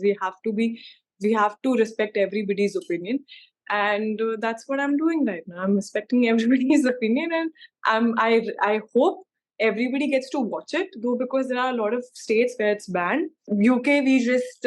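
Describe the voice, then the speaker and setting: A woman's voice: medium pitch, rough recording. A young woman with an Indian accent, an actress speaking casually and emphatically in an interview, often using "like" and "you know". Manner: informal, emphatic, peppered with 'like' and 'you know'.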